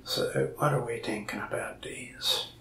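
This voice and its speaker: A young man playing a lonely, friendly mask shop salesman in a soft-spoken ASMR roleplay, talking slowly and often slipping into a whisper. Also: mid-range voice, reverberant, distant mic. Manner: slow, soft-spoken, gravelly with frequent whispered stretches. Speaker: ASMR creator. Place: small indoor room, mask wall behind.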